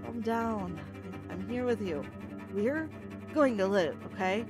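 A woman's voice: mid-range voice, lively intonation, slow, over music. A woman playing a bold, devoted survivor fleeing aliens in an audio drama, emphatic and dramatic. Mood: tender reassurance.

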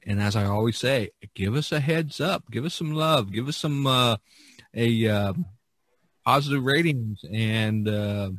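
A man's voice: medium pitch, fairly bright tone, no room sound. A man hosting a podcast, talking in a casual, rambling, conversational way. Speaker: podcast host.

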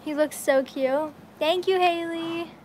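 Bubbly young American woman, high-pitched. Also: slow. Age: young adult. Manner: bubbly, excitable, slangy. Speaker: livestreaming vlogger. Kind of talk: casual livestream chat. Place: metal-walled horse stall in a barn. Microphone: phone at arm's length. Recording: noisy barn ambience, handheld phone.

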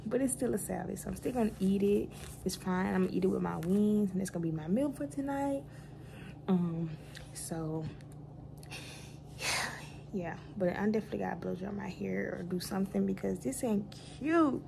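A young woman, vlogging casually from her bedroom into a phone at arm's length, rambling and relaxed, calling her viewers y'all. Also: mid-range voice, normal speed, gravelly, noisy background. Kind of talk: casual day-in-my-life vlog. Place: bedroom at home, indoors; later in a car in heavy rain. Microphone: phone camera held close at arm's length.

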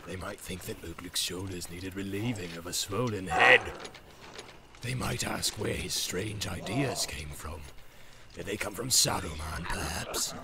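A man voicing a sly, evil orc who speaks softly with an insinuating sneer in a fantasy audiobook. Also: sits low, measured pace, a loud crowd. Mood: sneering, scornful. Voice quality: soft, hissing, gravelly.

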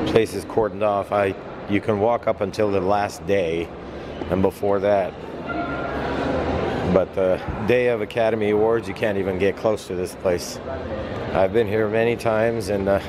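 A man's voice: fairly low pitch, very noisy. A man hosting a casual walking-tour vlog outdoors, pointing out sights in a loose, rambling way with small self-corrections. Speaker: vlog host, tour guide. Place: outdoors on a city boulevard.

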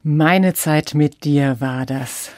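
A woman's voice: low voice, slow pace, a little mellow, no echo, soft background music. A woman interviewing a guest as a radio host, in a conversational way. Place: radio studio.

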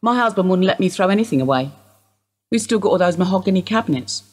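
A woman acting in a radio play as a weary, evasive older wife under suspicion, husky and rambling in an understated way. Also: lowish voice, expressive, fast. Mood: wistful, evasive.

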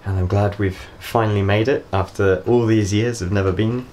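A man's voice: lowish voice, fairly even intonation, normal speed, a little mellow, a little hiss or hum. A young man from the UK filming a casual travel vlog, holding the camera at arm's length, chatting and laughing. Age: young adult. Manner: chatty, laughs often, swears when caught in the rain. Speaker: vlogger. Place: outdoors in windy hills, in a car, in a rented room. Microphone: handheld camera at arm's length.

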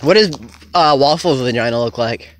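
A man's voice: mid-range voice, moderate pace. A man trading crude jokes and improvised rhymes outdoors on a forest trail, laughing often, recorded on a handheld phone. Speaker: joker in a prank video.

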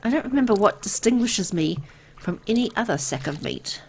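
A woman voicing an anxious, disoriented amnesiac young woman who narrates a horror visual novel in a dramatic, deliberate way. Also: medium pitch, expressive, a little hiss or hum, lightly acted. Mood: brooding, uneasy.

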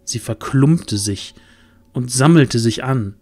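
A man's voice: medium pitch, animated, slow pace, a little mellow, faint music behind. A man narrating a scripted fantasy audio drama in a dramatic storytelling style.

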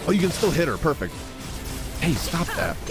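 Young guy streaming a game, excitable and slangy, higher voice that jumps all over, game music behind. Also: moderate pace. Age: young adult. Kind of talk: live gameplay reaction commentary. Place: small home streaming room.

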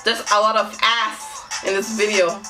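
A woman's voice: medium pitch, flat delivery, measured pace, poor audio. A young woman in her twenties with a slight Nigerian accent, reacting to a music video from her bedroom with giggly, exclamatory commentary and frequent laughter. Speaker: YouTube reactor. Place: small bedroom, indoors.

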